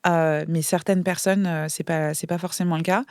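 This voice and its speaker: A woman's voice: lowish voice, fairly even intonation, moderate pace, no room sound, studio quality. Reflective French woman podcast guest. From France. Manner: thoughtful, many fillers and restarts. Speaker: guest, author. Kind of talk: casual podcast conversation.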